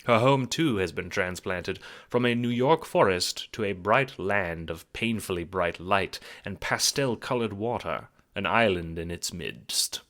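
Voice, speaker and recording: A man's voice: sits low, expressive, a little slow, few pauses, a bit bright. A man narrating a scripted audio drama in a theatrical, wry, rhetorical storytelling style. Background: music.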